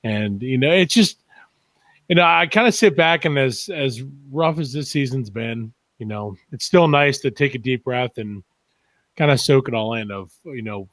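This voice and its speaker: A Midwestern man musing as a guest on a fan podcast, mid-pitched and plain-voiced. He stops and starts, leaning on fillers as he reflects. The sound is dry and close-miked. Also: a bit bright, no room sound. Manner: halting, filler-heavy, reflective. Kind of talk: casual chat.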